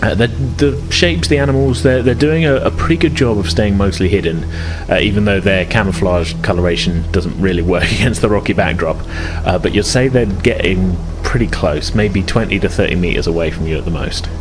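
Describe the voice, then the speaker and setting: Low-pitched male game master, relaxed hesitant narration, music bed under the voice. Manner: measured, filler-heavy narration with soft laughs.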